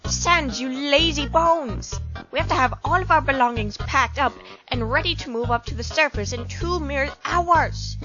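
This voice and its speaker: High-pitched, fussy skeleton brother voiced by a woman. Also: moderate pace, natural voice. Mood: scolding. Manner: declamatory, formal, scolding. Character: fussy, bossy, exasperated male skeleton monster brother. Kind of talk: comic dub acting. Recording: dull lo-fi audio with music behind.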